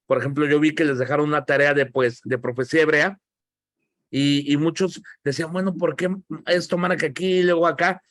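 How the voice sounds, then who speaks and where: A middle-aged Mexican pastor teaching a Bible class over a video call, emphatic and teasing as he scolds his listeners. His voice sits a bit high for a man. The sound is dry and close, with no room around it. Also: fairly even intonation, fairly quick, fairly bright tone, no echo. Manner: joking, emphatic, scolding in a friendly way.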